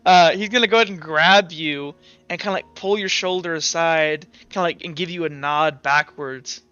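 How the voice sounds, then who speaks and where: A game master improvising tabletop narration, halting and searching for words. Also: medium pitch, pushed and bright, smooth, no echo, muffled recording.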